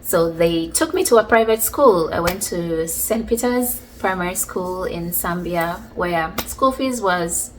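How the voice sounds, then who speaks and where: A woman, casually telling stories from her childhood in a chatty, relaxed way. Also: on the low side, a little slow, few pauses, a little hiss or hum, rough recording. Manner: chatty, relaxed, many fillers like "like" and "you know". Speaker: vlogger. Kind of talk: casual storytelling about childhood.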